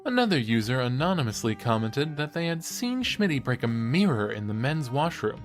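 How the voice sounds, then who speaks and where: Man playing an animated, eager young student podcaster. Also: medium pitch, moderate pace, faint music behind, close to an everyday voice. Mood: earnest, intrigued. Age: young adult. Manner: animated, earnest, teasing. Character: eager, credulous young male student podcaster chasing paranormal theories. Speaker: podcast co-host character. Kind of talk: conversational audio drama acting.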